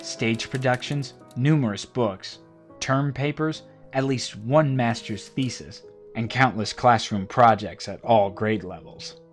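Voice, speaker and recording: A male narrator reading a scripted account in an even, documentary manner. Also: mid-range voice.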